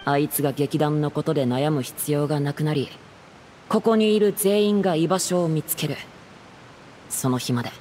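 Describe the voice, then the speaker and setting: An actor playing an earnest, heartbroken young stage playwright in a Japanese game, speaking in a measured, confessional way. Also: male voice, fairly high pitch, darkish tone, in a large hall, slight background noise, close to an everyday voice. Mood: wistful.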